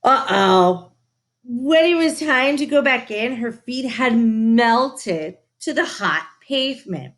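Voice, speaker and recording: A woman reading a children's story aloud in an expressive, emphatic, teacherly way, with asides to her listeners. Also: on the high side, slow pace. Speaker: storybook reader.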